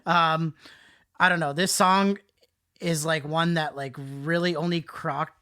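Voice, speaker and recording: Bright, higher-pitched nasal male podcast host. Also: fairly even intonation, takes it slowly, clear, resonant tone. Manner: jokey, informal, slangy. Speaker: podcast host discussing music albums. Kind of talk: casual chat.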